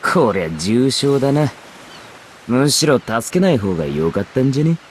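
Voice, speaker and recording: A man's voice: medium pitch, very animated, moderate pace, a little hiss or hum, natural voice. Brash, swooping young male outlaw, played by an actor. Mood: sardonic. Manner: rough, sardonic, swaggering. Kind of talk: Japanese anime game voice acting.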